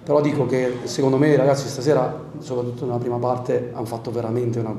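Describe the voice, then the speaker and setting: A man in his forties talks through a post-match press conference in a flat, steady voice, pausing on fillers as he works out his answers. The press room rings with echo and a murmur of people in the background. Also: mid-range voice. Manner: measured, thinking aloud with frequent fillers. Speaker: assistant football coach. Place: press room in front of a sponsor wall. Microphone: desk microphone. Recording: roomy press room with crowd noise.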